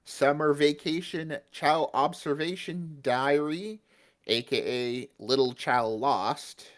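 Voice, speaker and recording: A man's voice: medium pitch, a bit bright. A man co-hosting a podcast, recapping an episode in a chatty, jokey way. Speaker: podcast co-host.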